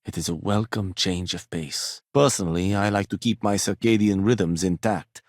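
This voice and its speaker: Casting: punctilious corporate office man for a video game. Low register, formal precise diction, dry condescension, close dry sound. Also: moderate pace, dry recording. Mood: measured, reflective.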